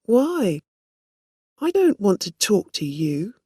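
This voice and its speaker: Storybook con-woman, played by a narrator: raised pitch, defiant, quick to defend herself, dry sound. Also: big pitch swings, dark tone, breathy edge, dry recording, full character voice. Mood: defiant, defensive.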